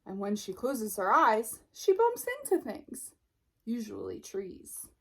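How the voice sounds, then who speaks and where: A woman narrates a picture book for children. Her voice is fairly high and softly breathy, with animated rises and falls. She takes her time and leaves dramatic pauses. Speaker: storybook reader. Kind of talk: expressive children's read-aloud narration.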